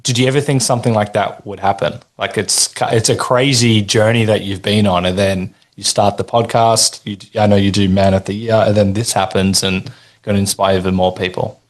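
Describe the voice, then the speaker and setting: A man hosts a casual podcast interview in a low voice. He is upbeat and encouraging, praising his guest's answers before moving on to the next question. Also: fairly even intonation, brisk. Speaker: podcast host.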